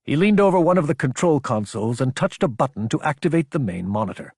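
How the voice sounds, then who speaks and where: Husky male audiobook narrator, close and dry. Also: fairly high pitch, sing-song, fast, darkish tone, no echo. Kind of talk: dramatic scripted fiction narration.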